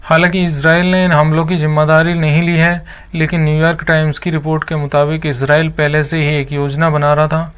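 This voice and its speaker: A man's voice: a little high, little pitch movement, a little fast, hardly any pauses, a bit bright, smooth. A man presenting scripted news as a narrator, with a formal, earnest delivery.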